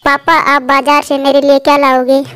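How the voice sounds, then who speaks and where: A woman's voice: high-pitched, completely flat delivery, normal speed, a bit bright. A woman voicing a cheerful, eager little bird child in a cartoon story, sweetly pleading and insistent. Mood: excited. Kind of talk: Hindi cartoon moral story acting.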